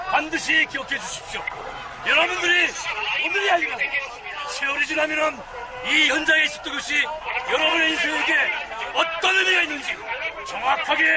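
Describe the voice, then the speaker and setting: A man giving an emphatic protest speech, declaiming in short, broken phrases. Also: very high-pitched. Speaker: protest speaker. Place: outdoor street rally in a city. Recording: outdoor street recording with loud crowd noise. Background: loud crowd and urban noise.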